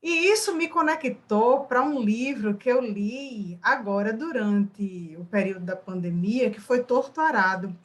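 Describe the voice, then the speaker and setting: A woman moderates an online lecture, speaking conversationally with thoughtful pauses over a video call. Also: fairly high pitch.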